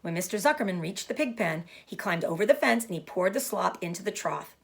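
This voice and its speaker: A woman giving an expressive storybook read-aloud for children, pausing to explain things simply. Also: fairly low pitch, creaky. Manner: explanatory, addressing young listeners. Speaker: storybook reader.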